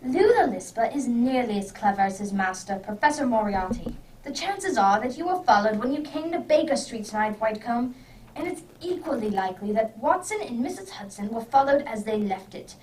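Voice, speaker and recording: A woman playing a brisk, impatient, clever male detective with theatrical emphasis in an amateur radio drama. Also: fairly high pitch, lively intonation, a little mellow, lightly acted. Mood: urgent. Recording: distant microphone, background noise.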